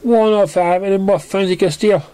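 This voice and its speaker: A hot-tempered party mare acted by a man reading a story, lifted above a plain male pitch. She is jealous and indignant, making a melodrama of every line. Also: steady tone, normal speed, mellow, smooth, lightly acted. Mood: sarcastic. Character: hyper female party pony, jealous, dramatic and hot-tempered.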